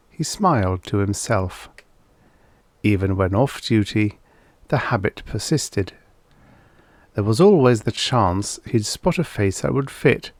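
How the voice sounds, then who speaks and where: A man reading a story aloud as an audiobook narrator, in a measured, deliberate manner. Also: lowish voice, no room sound.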